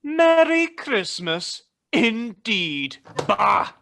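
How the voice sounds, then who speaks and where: A man's voice: on the high side, animated, normal speed, very noisy, close to an everyday voice. A man playing a cold, irritable old miserly businessman in a radio play, with a curt, grumbling, scornful delivery. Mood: grudging, scornful.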